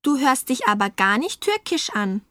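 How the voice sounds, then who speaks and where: A woman interviewing guests in a studio for a scripted language-course dialogue, asking her questions slowly and with careful enunciation. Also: sits high, sing-song, sharp tone, no echo.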